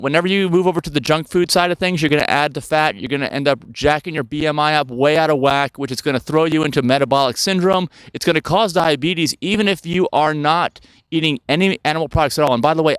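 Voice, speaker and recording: A man of fifty talks casually outdoors, rambling from point to point and checking in with his viewers. His voice sits a touch high and comes through smooth and clear. The sound is dry and close, with no room around it. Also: fairly bright tone, dry recording. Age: 50s. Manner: chatty, rambling, asks viewers questions. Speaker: health channel host. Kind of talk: casual talk to camera. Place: outdoors in the woods.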